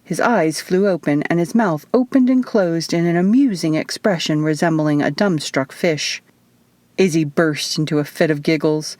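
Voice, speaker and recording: A woman's voice: sits low, moderate pace, darkish tone, no echo. A woman narrating first-person fiction as an audiobook reader, in a scripted, storytelling style.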